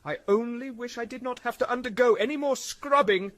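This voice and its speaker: A man's voice: very high-pitched, fairly quick, fairly bright tone, pitched above the performer's own voice. A man voicing a self-pitying cyborg who whines and pleads for help. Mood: pleading. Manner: pleading, whining. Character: whiny self-pitying man in cybernetic limbs.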